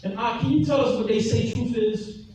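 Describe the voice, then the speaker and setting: A man's voice: very high-pitched, steady tone, moderate pace, warm tone. A man preaching an emphatic teaching sermon, repeating his scripture references and drawing responses from the room. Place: large echoey hall, heard from far off. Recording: echoey hall, distant mic, faint noise.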